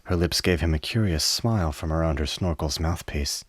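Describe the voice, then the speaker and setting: A man narrating a scripted drama in a measured storytelling manner. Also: rumbling low, husky, no room sound.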